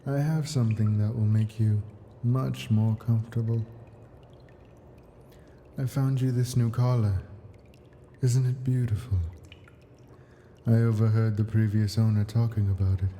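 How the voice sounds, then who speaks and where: Ancient dragon played by a man for ASMR roleplay: doting but possessive captor, unhurried and deeply mellow, soft coaxing delivery. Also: steady tone, slight background noise. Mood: proud, coaxing. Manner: slow, coaxing, possessive endearments with soft whispers.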